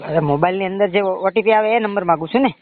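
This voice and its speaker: A man with a high voice and a Gujarati accent makes a loan sales call. He talks fast and presses his point again and again. The sound is narrow and muffled, as on a recorded phone line. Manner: insistent, pushy, repetitive. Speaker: phone caller claiming to be a bank loan agent.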